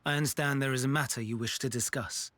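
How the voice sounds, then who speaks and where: A man playing a grave, learned ancient scholar in a game cutscene, speaking in a formal, measured and analytical way. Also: medium pitch, fairly even intonation, bright, forceful tone, dry recording, lightly acted. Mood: grave, thoughtful. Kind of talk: video game cutscene acting.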